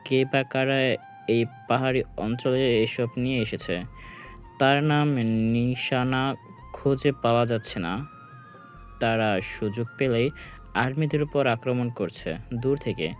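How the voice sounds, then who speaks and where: An actor playing an army major in an audio story, talking in a serious, official way. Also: male voice, medium pitch, a little slow, natural voice. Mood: serious, urgent. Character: army major briefing a subordinate on a mission. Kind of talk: acted dialogue in an audio story. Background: background music.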